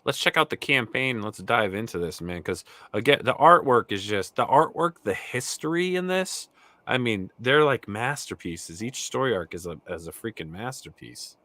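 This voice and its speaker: An enthusiastic man hosting a casual comics podcast. His voice is bright and lively, rising and falling a lot as he restarts his sentences. The sound is dry and close, with no room around it. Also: medium pitch, normal speed, no echo. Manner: animated, enthusiastic, many restarts. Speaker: co-host. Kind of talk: casual video podcast chat.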